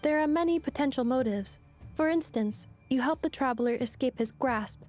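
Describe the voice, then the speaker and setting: High-voiced, analytical robot girl. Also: moderate pace, darkish tone, soft background music. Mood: composed, matter-of-fact. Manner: precise, formal, matter-of-fact. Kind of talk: video game character acting.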